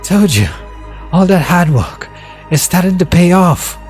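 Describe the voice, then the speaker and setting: A man's voice: sits high, lively intonation, measured pace, a little mellow, soft background music, pitched above the performer's own voice. Man acting a tough, streetwise teenage boxer. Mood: triumphant. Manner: streetwise, urging. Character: tough, determined teenage boy boxer, protective older friend.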